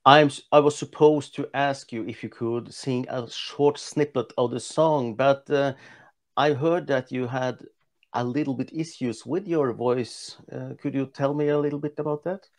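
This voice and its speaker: A man's voice: mid-range voice, fairly even intonation, moderate pace. A man interviewing a singer over a video call, asking questions with many hesitant fillers.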